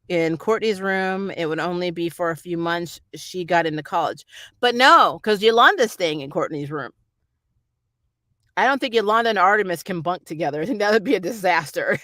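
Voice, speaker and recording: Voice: medium pitch, bright, forceful tone, dry recording, studio quality. A vlogger chatting off the cuff in a rambling, opinionated way, like a fan giving a review. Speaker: YouTube reviewer reading and answering viewer comments.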